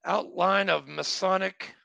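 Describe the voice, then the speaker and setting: A man hosting a podcast, chatty and quick to jump in with asides. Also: high voice, steady tone, creaky, pushed and bright. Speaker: podcast host. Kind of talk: casual interview chat.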